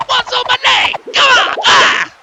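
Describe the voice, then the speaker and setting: High, brash, swaggering pimp wrestler. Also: male voice, measured pace, gravelly, heavy background noise, full character voice. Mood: panicked. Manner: swaggering, excitable, shouty. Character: cocky, brash pimp wrestler with a loud mouth. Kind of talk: cartoon wrestling voice acting.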